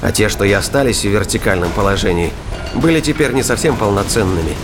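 A man reading scripted narration of a science fiction thriller as a dramatic, deliberate storyteller. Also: fairly low pitch, expressive. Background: music and battle sound effects.

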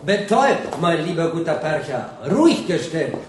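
An actor playing a smug young general-dictator in a German radio drama, gushing with oily familiarity and rambling on with jovial menace. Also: male voice, a little high, very animated, moderate pace, slight background noise. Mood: smug, proud. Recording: roomy, echoing studio scene.

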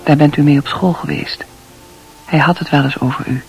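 A woman's voice: low-pitched, fairly even intonation, normal speed, a little mellow, slightly rough, soft background music, exaggerated voice, low-quality recording. An actress playing a modest, politely reserved female hospital nurse in a radio play, speaking simply and matter-of-factly. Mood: reserved, friendly. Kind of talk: Dutch radio play acting.

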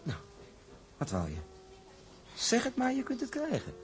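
A man's voice: very high voice, wildly swooping pitch, a little slow, faint music behind, heavily acted. An actor playing a smug, domineering shipping tycoon in a drama, talking in a hearty, condescending way to his sweetheart. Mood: jovial, overbearing. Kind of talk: acted period drama dialogue.

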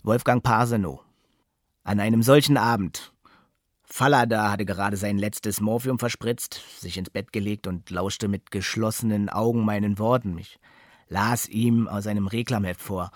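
An actor playing a witness recounting events in literary radio play narration, factual and precise. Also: male voice, fairly low pitch, steady tone, moderate pace, no room sound, studio quality, natural voice. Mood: sober, tense. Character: male witness soberly recounting an addict's threat.

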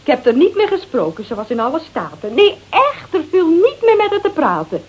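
High, swooping, brash housewife. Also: female voice, brisk, lo-fi sound. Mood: mock regretful. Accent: Netherlands Dutch. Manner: slangy, gossipy, theatrically expressive. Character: brash bored adulterous housewife, flippant, easily rattled. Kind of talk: radio drama acting.

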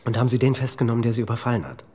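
Actor as anxious, stammering husband under questioning. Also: male voice, medium pitch, mellow, slightly rough, close to an everyday voice. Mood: unsure. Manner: halting, stammering, defensive. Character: anxious, exhausted husband with drinking habit under interrogation. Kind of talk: naturalistic radio drama acting.

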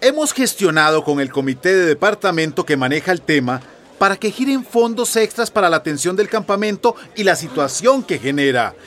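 A man's voice: high voice, very animated, a little fast, fairly bright tone. A man playing a polite, reasonable town mayor in a radio drama, explaining himself formally. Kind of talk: Spanish-language radio drama acting.

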